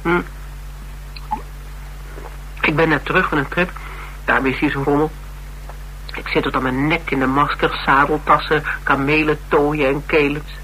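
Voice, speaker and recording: An actor playing a direct, inquisitive Dutch detective in a radio play, with a drily teasing, businesslike manner. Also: male voice, on the high side, flat delivery, normal speed, pauses often, bright, forceful tone, slight background noise, low-quality recording. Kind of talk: naturalistic radio play dialogue.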